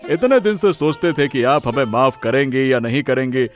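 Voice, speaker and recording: A man voicing a repentant middle-aged village headman in a cartoon, speaking in a deliberate, formal way. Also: medium pitch, expressive, soft background music, natural voice. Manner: deliberate, formal and addressing a crowd. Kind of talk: Hindi cartoon dubbing.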